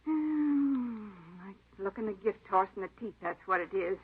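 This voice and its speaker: An aggrieved, fussy older woman in a vintage radio drama. She grumbles in a folksy, indignant manner at an average pitch. The old recording is dull and muffled. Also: animated, measured pace, husky. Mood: wry. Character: fussy, aggrieved aunt robbed by a cleaning woman.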